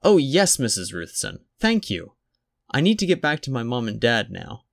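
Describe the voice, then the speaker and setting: A man's voice: mid-range voice, very animated, moderate pace, creaky, dry recording, studio quality, pitched above the performer's own voice. A man doing a polite, eager little boy. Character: small polite clever boy.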